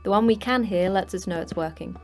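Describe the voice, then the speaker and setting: An actress playing the terse, dry manager of a secret prison for monsters in a sci-fi horror audio drama, speaking in a clipped, matter-of-fact way. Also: female voice, mid-range voice, a little fast, darkish tone, faint music behind, close to an everyday voice. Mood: dry, grim.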